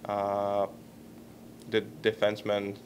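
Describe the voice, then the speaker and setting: A young man in his twenties with a Czech accent, answering postgame questions at a press table microphone, halting and searching for words. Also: on the low side, completely flat delivery, a little hiss or hum. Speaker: hockey player at a postgame press conference. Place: press conference room.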